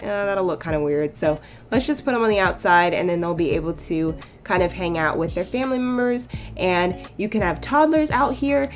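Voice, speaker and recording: A young woman chatting casually over her game build, her voice a bit hoarse and cracking, rambling into playful asides. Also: fairly low pitch. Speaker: sims builder YouTuber. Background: soft background music.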